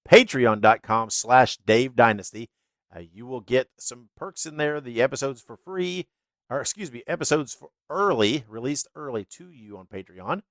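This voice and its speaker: A man's voice: medium pitch, fairly bright tone, no echo. A man hosting a wrestling podcast, chatty and laughing, sounding stuffy and congested. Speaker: podcast host. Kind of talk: casual podcast outro.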